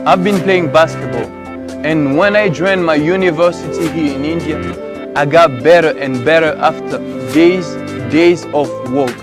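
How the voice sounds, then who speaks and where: Man from Congo, student testimonial, earnest, music loud underneath. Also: fairly high pitch, normal speed. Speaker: international student. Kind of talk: promotional testimonial.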